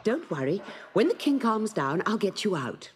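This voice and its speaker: A woman's voice: mid-range voice, very animated, normal speed, background noise, close to an everyday voice. A woman playing a kind young princess in a children's audio story. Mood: reassuring, hushed.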